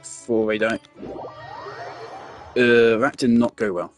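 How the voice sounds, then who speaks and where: A man giving casual gameplay commentary, thinking out loud with fillers and the odd swear. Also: mid-range voice, steady tone, slow pace, soft background music. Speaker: gamer testing team setups.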